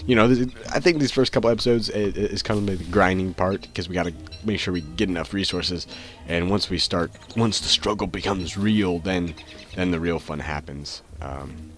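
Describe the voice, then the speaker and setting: Congested, nasal deep-voiced man. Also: a bit bright. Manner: rambling, full of ums and restarts. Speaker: gaming channel host. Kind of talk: casual gameplay commentary.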